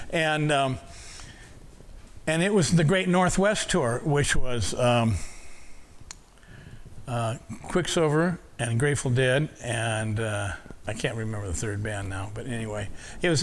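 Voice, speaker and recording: A man's voice: medium pitch, a little hiss or hum. Older man telling rambling studio stories. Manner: rambling, many restarts and repeated words. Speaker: audio engineer telling stories. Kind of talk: casual storytelling talk.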